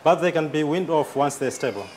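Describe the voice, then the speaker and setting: Higher-pitched middle-aged male clinician, faint noise. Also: lively intonation, brisk, a little mellow. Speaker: clinician presenter. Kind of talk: instructional explanation to camera. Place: hospital room indoors.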